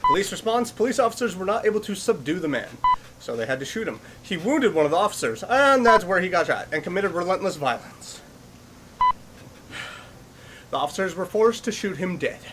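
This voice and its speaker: A man's voice: sits high, a little fast, background noise. A man giving casual reaction commentary as he exercises, a little short of breath, reading things aloud and commenting on them. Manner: animated, reads on-screen text aloud then reacts; exercising on a bike while talking. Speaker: YouTuber reacting to horror videos.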